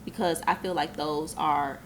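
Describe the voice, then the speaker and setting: Low-voiced young businesswoman, earnest. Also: fairly even intonation, a little slow, slight background noise. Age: 20s. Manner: reflective, earnest, many you-knows. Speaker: business owner guest. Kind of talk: casual interview talk.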